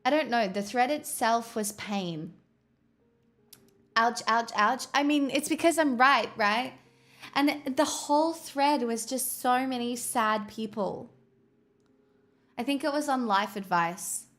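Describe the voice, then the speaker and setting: A woman's voice: on the high side, slow pace, a bit bright. A young woman streamer chatting casually and rambling to her viewers. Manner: chatty, rambling, talks to chat.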